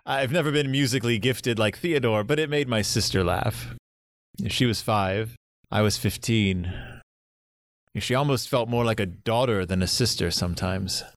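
An actor in an audio drama playing a courteous, lonely grandfather in his seventies, speaking gently and reflectively. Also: lowish voice, normal speed, no echo, studio quality, natural voice. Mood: wistful, reminiscing.

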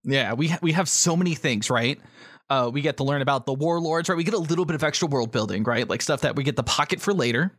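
A man with an average-pitched voice co-hosts a podcast. He chats casually, explains points and prompts his co-host, checking in often in a conversational way. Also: fast, a bit bright, no room sound, clean studio sound.

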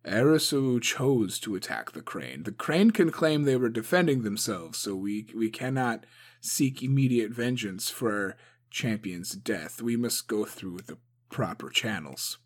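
A man's voice: lowish voice, animated, a little slow. A man voicing a cautious male samurai advisor who mumbles and hesitates.

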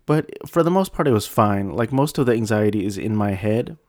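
A man's voice: on the low side, animated, fairly quick, darkish tone, no echo, clean studio sound. A young man telling a personal story in a casual, self-deprecating, rambling way with frequent restarts. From United States.

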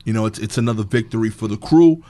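A male stand-up comedian in casual chat, talking in an animated, rambling way full of filler "likes". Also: lowish voice, quick pace.

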